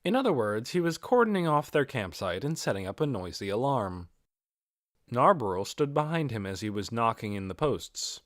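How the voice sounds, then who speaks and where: A man's voice: mid-range voice, sing-song, moderate pace, no room sound, studio quality. A man reading a fantasy novel aloud as scripted audiobook narration. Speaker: audiobook reader.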